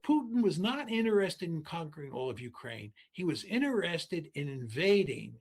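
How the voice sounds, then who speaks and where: A man's voice: a little high, sing-song, a little slow. A man giving conversational political commentary as an interview guest.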